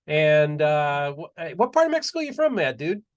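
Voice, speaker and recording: Excitable, slightly high-voiced male host. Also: normal speed. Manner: enthusiastic, repeats words and restarts often. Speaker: podcast host. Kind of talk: casual interview chat.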